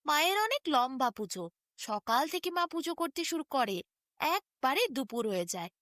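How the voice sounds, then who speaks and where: A woman's voice: high voice, sing-song, normal speed, a bit bright, no echo, studio quality. A woman voicing a sweet, friendly little boy in a storybook tale, speaking in an earnest, coaxing way. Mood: matter-of-fact. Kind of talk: storybook character dialogue.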